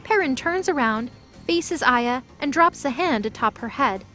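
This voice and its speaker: A woman's voice: sits high, lively intonation, measured pace, pushed and bright, soft background music. A woman reading scripted present-tense narration for an audio drama.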